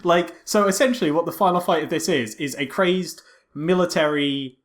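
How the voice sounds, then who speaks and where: A man's voice: on the high side, moderate pace. A man co-hosting a podcast with casual comedic film commentary, often stammering and repeating the starts of phrases. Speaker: podcast co-host.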